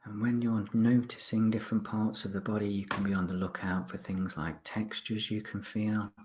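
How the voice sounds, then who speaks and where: A man instructing listeners through a guided body scan relaxation, speaking politely in short phrases with pauses. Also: fairly low pitch, level tone, a little mellow, a little hiss or hum.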